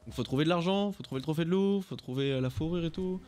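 A young man streaming a game on a headset mic, chatting casually with teammates, relaxed and swearing now and then. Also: a little high, fast. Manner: relaxed, swears casually, thinks aloud while playing. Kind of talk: casual gameplay chat with teammates. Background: game music.

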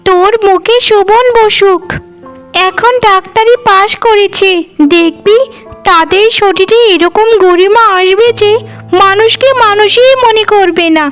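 A spiteful village gossip woman from a cartoon, acted out by a female performer. Her voice is very high, and she talks in a sneering, dismissive way, with music playing underneath. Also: measured pace. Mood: envious, scornful. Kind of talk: Bengali cartoon voice acting.

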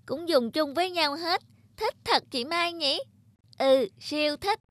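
Very high, sweet cartoon little girl. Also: unhurried, fairly bright tone, dry recording. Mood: sweet, excited. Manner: childlike, affectionate. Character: sweet, eager seven-year-old twin girls. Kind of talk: cartoon acting.

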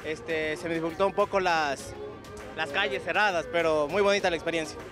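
A man with an Ecuadorian accent talks in a relaxed, pleasant way after a race. His voice sits slightly high, and clear music plays in the background. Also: brisk. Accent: Ecuadorian Spanish. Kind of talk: post-race interview.

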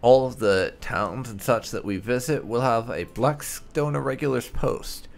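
A man's voice: mid-range voice, unhurried, vocal fry, a little hiss or hum. A man hosting a gaming channel, giving casual, rambling let's play commentary as he thinks aloud. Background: faint game music.

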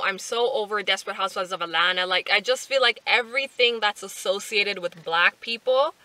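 A woman in her twenties chatting casually to camera from a parked car, animated and full of fillers and asides. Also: mid-range voice, no echo, rough recording. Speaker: vlogger. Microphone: camera on the dashboard.

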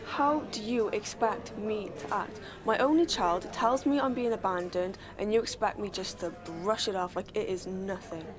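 A woman's voice: medium pitch, moderate pace, few pauses, over music, close to an everyday voice. A young woman playing a clingy, lonely mother, stubborn and needy, in radio-play drama. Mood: indignant. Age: young adult. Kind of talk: low-key dramatic radio-play dialogue.

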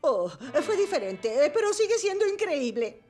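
A woman's voice: extremely high pitch, very animated, unhurried, heavily acted. A woman voicing a friendly, gushing, starstruck schoolteacher motorbike in a children's cartoon. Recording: faint music behind the voice.

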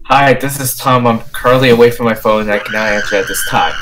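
A man's voice: medium pitch, quick pace, crowd noise, close to an everyday voice. A man playing an evasive, fretful friend on a phone call in an improvised Minecraft roleplay, casual and a little hesitant. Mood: deadpan.

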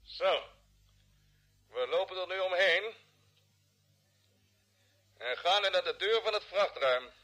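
An actor playing a calm, decisive male expedition leader in a vintage Dutch radio play, giving brisk orders with authority. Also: high-pitched, exaggerated voice. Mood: focused. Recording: old radio recording with faint noise.

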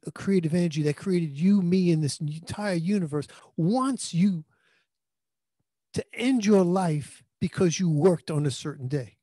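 New guy in his 50s giving a sermon, warm relaxed tone, handheld mic, talks like a conversation and restarts a lot. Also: on the high side, no room sound. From New York. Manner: earnest conversational preaching with frequent restarts. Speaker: pastor. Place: small indoor room. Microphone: handheld microphone.

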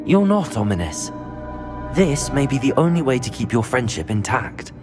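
An actor playing a measured, polite teenage wizard student in a game cutscene. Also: male voice, medium pitch, very animated, over music, natural voice. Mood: reasoning. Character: diplomatic teenage wizard student, calm and reasoned. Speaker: game protagonist. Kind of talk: video game cutscene acting.

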